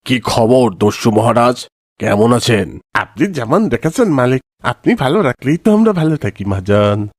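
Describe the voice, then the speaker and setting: A man voicing a menacing, scheming forest bandit villain in a cartoon, with gloating, threatening, drawn-out delivery. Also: medium pitch, very animated, no room sound, clean studio sound.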